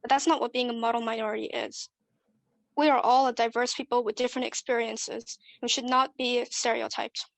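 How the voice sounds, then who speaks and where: A woman's voice: on the high side, no room sound. A young woman delivering a prepared competition speech in an earnest, persuasive way. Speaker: student speaker.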